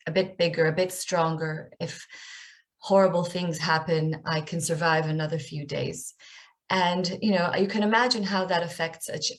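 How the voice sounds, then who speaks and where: A low-voiced Israeli woman in her forties speaks over a video call. She tells a personal story candidly, with plenty of ums along the way, and her voice sits deep for a woman. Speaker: guest speaker.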